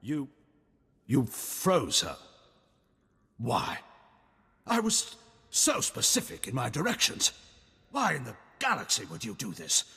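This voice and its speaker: A man's voice: mid-range voice, huge pitch swings, slow, vocal fry, pauses often, pushed and bright, breathy edge, big-room sound, exaggerated voice. Imperious, intense military father, swooping delivery. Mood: incredulous outrage. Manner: formal, theatrical, emotional. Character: imperious Sith admiral, anguished doting father.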